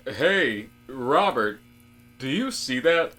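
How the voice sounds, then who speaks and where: A man acts out a bland, courteous male office worker in a deadpan audio comedy. He speaks slowly and haltingly, earnest about small things, with fillers between his thoughts. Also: medium pitch, faint music behind, exaggerated voice. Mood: puzzled. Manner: hesitant, halting, with many fillers. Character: George, polite, bland office man turning earnestly philosophical. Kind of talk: deadpan comic audio drama dialogue.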